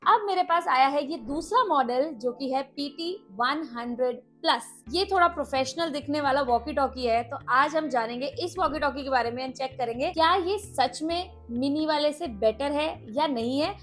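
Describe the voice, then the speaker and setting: High-voiced Indian woman reviewing a product. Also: moderate pace, hardly any pauses, a bit bright, faint music behind. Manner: explanatory, mixes in English words. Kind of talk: casual unboxing and product review to viewers.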